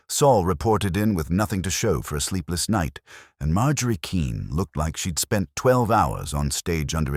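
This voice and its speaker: A man's voice: a bass voice, no room sound, studio quality. A man narrating a first-person hardboiled detective audiobook in a dramatic, wry storytelling manner.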